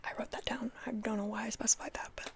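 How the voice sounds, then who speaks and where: A woman's voice: a little high, level tone, fast. Playful whispering young woman, ASMR. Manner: playful, chatty, repeats words. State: dry mouth. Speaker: ASMR host. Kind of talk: whispered ASMR sleep-permission talk.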